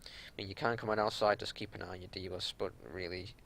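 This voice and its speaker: A man giving unscripted gameplay commentary as a raid leader, explaining tactics in a matter-of-fact way over a home recording with some low rumbling noise. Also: fairly even intonation, quick pace, vocal fry, fairly bright tone.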